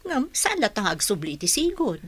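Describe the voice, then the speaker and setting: A woman's voice: medium pitch, big pitch swings, normal speed, a bit bright, slightly rough. An actress playing a frank, sympathetic woman confidante in a radio drama, matter-of-fact, with a Filipino accent. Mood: frank, concerned. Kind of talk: Ilocano radio drama acting.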